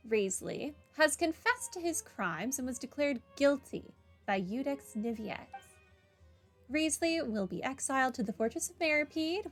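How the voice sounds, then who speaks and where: A woman with a fairly high voice hosts a game playthrough. She chats casually and reads on-screen text aloud, with game music playing behind her. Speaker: gaming video host.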